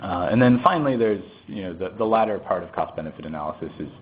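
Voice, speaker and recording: A man's voice: deep voice, lively intonation, fast talker. A man presenting a technical webinar, recovering from a cold and a bit sniffly, explaining with fillers and restarts. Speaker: presenter, economist. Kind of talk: technical lecture. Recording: tinny phone line with faint noise.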